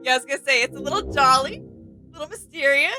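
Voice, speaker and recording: A woman's voice: high-pitched, rapid-fire, music in the background. A woman co-hosting a reaction video, chatting casually in a giggly, agreeable way.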